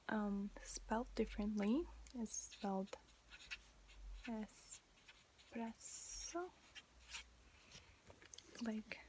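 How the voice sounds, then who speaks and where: A woman with an Italian accent gives an informal, gentle language lesson in a breathy whisper, pausing as she explains. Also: fairly high pitch, a little hiss or hum. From Italy. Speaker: language teacher.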